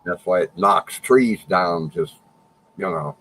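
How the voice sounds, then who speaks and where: A man with a very deep, low voice explains things in a plain, matter-of-fact way while co-hosting a casual livestream chat. A faint hiss runs behind him. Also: lively intonation, takes it slowly, vocal fry, a bit bright, poor audio. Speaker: livestream co-host.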